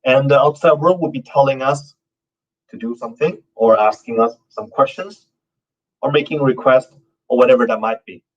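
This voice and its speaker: A man's voice: medium pitch, lively intonation, moderate pace. A man giving a conference talk as a company founder, speaking loosely with many fillers and restarts. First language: not Norwegian. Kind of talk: conference presentation. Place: conference stage. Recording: dull, muffled sound from a distant mic.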